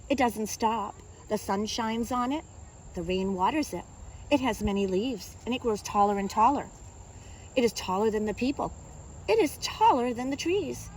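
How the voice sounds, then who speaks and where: A woman reading a picture book aloud to young children as a kindergarten teacher, in an expressive, teacherly way. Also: on the high side, slight background noise, low-quality recording. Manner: expressive, simple teacherly delivery with questions to young listeners.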